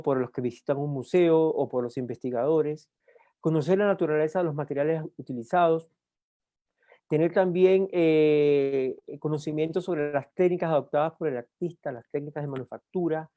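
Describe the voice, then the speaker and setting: A man from Cuba with a Cuban accent, lecturing at a university in an unhurried way, often pausing on "eh" and restarting words. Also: on the high side, warm tone, no echo, lo-fi sound. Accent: Cuban Spanish. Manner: unhurried with frequent "eh" fillers and restarts. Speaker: guest lecturer. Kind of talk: university talk.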